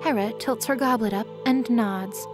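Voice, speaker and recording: High-voiced woman narrator. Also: normal speed, over music. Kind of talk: scripted story narration.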